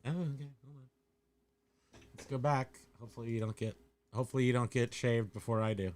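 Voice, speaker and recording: A man's voice: mid-range voice, fairly quick, a little mellow. A man co-hosting a livestream, chatting casually in a goofy, teasing, playful way. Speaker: co-host of a livestream. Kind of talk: casual joking livestream chat.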